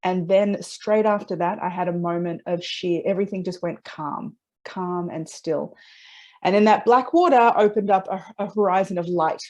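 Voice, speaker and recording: A woman being interviewed, telling personal stories in a conversational, reflective and expressive way with small check-in questions. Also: on the low side, normal speed. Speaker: interview guest, transpersonal psychology practitioner.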